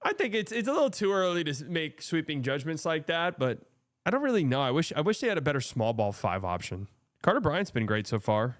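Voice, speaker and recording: Smooth-voiced man hosting a game stream. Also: fairly high pitch, sing-song, a little fast. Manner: blunt, profane, reads and answers chat. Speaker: streamer hosting a watch party. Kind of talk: casual live game-watching chat.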